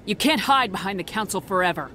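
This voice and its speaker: A woman's voice: medium pitch, animated, quick pace, sharp tone, slight background noise. A woman playing a determined military commander in a video game, speaking in a firm, direct, clipped way. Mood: defiant, resolute. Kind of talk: video game cutscene acting. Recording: music behind game dialogue.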